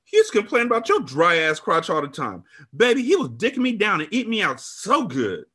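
A man voicing a furious, foul-mouthed woman in an audiobook drama, loud, sassy and confrontational, bent on revenge. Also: medium pitch, very animated, normal speed, voice pushed up. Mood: vengeful, gloating. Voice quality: loud, raised voice. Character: Alice, furious, foul-mouthed, vengeful woman betrayed by her friend.

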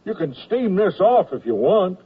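An actor playing a blunt, laconic army post office clerk in a radio comedy. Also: male voice, sits high, big pitch swings, moderate pace, breathy edge, heavily acted. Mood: offhand. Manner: laconic, matter-of-fact. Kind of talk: 1970s radio comedy acting. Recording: tinny, phone-like radio recording.

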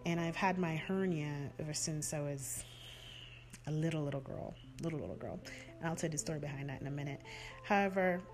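A woman hosts her own podcast alone, speaking in a lower register than most women. Her delivery is earnest and heartfelt as she reflects and affirms her beliefs. Faint music sits underneath her voice. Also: brisk. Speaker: podcast host. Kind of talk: solo reflective podcast talk.

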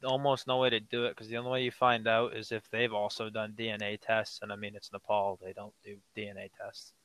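A teenage male podcast guest who answers with dry, deadpan jokes in a casual interview. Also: lowish voice, a little fast, poor audio. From United States. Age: teens.

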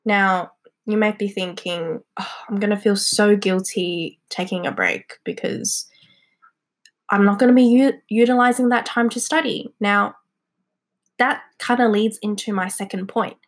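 A young woman hosting a podcast in a casual, encouraging way, her voice hoarse and congested from a cold. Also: medium pitch, unhurried. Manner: chatty and encouraging, with fillers like "um" and "like". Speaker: podcast host. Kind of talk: casual solo podcast talk.

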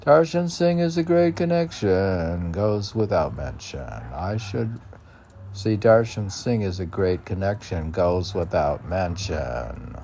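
A man's voice: low voice, sing-song, slow pace, vocal fry, warm tone, a little hiss or hum. A man reading his own poetry aloud and musing to himself between lines, often repeating phrases. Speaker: poet reading own work. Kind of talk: poetry reading with muttered asides.

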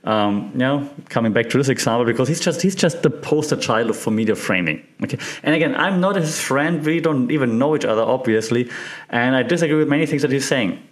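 A man from Germany with a German accent hosts a podcast, explaining ideas informally with halting repetitions and frequent check-ins to the listener. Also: medium pitch, animated. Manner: conversational, halting, repeats words, checks in with "right?". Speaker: podcast host.

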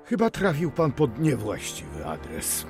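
A man's voice: mid-range voice, wildly swooping pitch, a little slow, music in the background. An actor playing a haughty, class-conscious father in a historical radio drama, talking in a pompous, condescending, cutting way. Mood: scornful.

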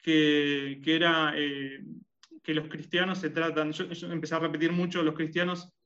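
A man's voice: on the high side, little pitch movement, normal speed, fairly bright tone. Hesitant Rioplatense man, muffled audio. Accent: Rioplatense Spanish. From Argentina and Uruguay. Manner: hesitant, many fillers and restarts. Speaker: guest. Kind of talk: conversational interview.

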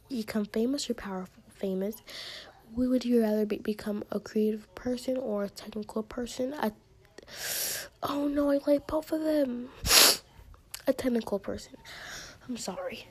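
A teenage girl with a high-pitched, mellow voice, chatting casually in a vlog. She takes her time and rambles a little. Her asides fade into soft near-whispers. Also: breathy edge. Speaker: vlogger. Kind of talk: casual chat, reading out questions and answering them.

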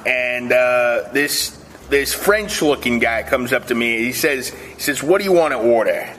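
A man playing an indignant Italian-American Bronx guy, telling his tale haltingly and repetitively in a radio comedy sketch. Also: mid-range voice, lively intonation, a bit bright, a little hiss or hum, poor audio. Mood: matter-of-fact.

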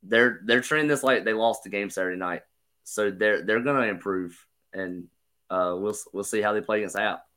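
Male podcast co-host, slightly hoarse, lowish voice with a forceful edge, measured sports talk. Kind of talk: casual sports chat.

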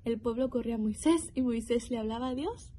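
Young woman, earnest faith testimony, higher mellow voice, faint hiss behind. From Mexico. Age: young adult. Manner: earnest, reflective, with pauses and restarts. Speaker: youth program speaker. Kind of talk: personal testimony.

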